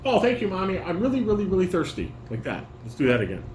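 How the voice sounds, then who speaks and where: A father directing a family table read, reading stage directions haltingly and correcting himself as he coaches. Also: high voice, wildly swooping pitch, darkish tone. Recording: roomy, noisy home recording.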